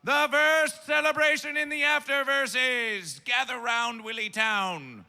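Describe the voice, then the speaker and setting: Man hyping a festival crowd, very high voice, gravelly edge, drawn-out slow delivery, mangled grammar. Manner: theatrical, mangled grammar, chanted and shouted callouts. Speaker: festival emcee. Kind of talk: live stage hype announcing. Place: festival stage.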